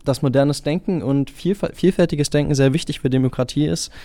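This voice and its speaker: A man's voice: fairly high pitch, a little fast, warm tone, dry recording. A man gives an earnest interview statement, repeating phrases as he thinks aloud. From Germany. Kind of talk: vox pop statement.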